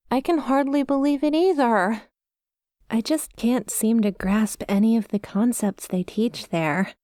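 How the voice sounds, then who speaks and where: A woman acting a ditzy, clear-voiced young failing yandere. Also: medium pitch, no echo, studio quality, close to an everyday voice. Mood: embarrassed, exasperated. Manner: chatty, confiding, flustered and expressive. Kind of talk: ASMR roleplay acting addressed to the listener.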